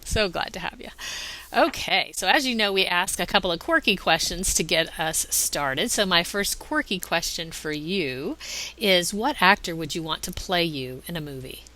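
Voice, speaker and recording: The forceful, lowish-voiced woman you'd hear hosting a chatty guest podcast, full of exclamations and chuckles. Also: expressive, moderate pace, poor audio. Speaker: podcast host and interviewer. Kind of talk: conversational interview.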